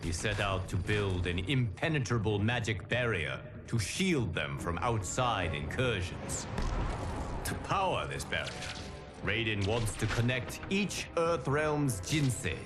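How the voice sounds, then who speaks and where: An actor voicing a stern guardian of timelines, narrating a video game cutscene in a solemn, formal, measured manner. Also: male voice, on the low side, sing-song. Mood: grave, foreboding. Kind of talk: dramatic scripted narration. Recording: studio voice under loud music. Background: loud cinematic music.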